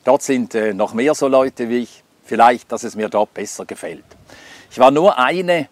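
A man's voice: mid-range voice, moderate pace, dry recording. Older man telling his story. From Scandinavia. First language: Swedish. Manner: reflective, hesitant with frequent fillers. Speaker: testimony speaker. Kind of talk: personal life testimony.

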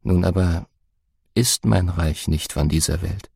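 A man doing a calm, grave prisoner on trial, speaking slowly and solemnly. The voice sits very low with a gravelly, husky edge and a dark, mellow tone, in a dry, close recording. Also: dry recording, full character voice. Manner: slow, solemn, deep measured delivery.

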